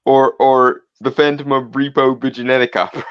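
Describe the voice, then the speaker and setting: A man with a mid-pitched voice, heard through dull, low-fidelity audio. He talks casually as a player at a tabletop roleplay session, tossing in side comments. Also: little pitch movement, fairly quick, clean tone.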